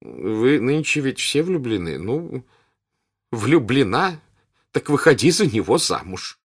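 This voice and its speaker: A man's voice: mid-range voice, big pitch swings, takes it slowly, husky, pitched above the performer's own voice, heavily acted. A man voicing a middle-aged countess in an audiobook, dry, mocking and impatient. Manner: dry, scoffing.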